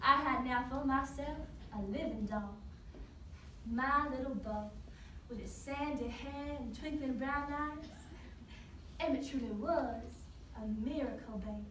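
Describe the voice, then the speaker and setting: Young performer as a high-voiced grieving, devoted mother. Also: a little slow, fairly bright tone. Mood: tender reminiscing. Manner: solemn, tender, dramatic storytelling. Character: grieving devoted mother remembering her lost son. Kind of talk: competitive dramatic interpretation monologue. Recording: roomy, distant mic, faint noise.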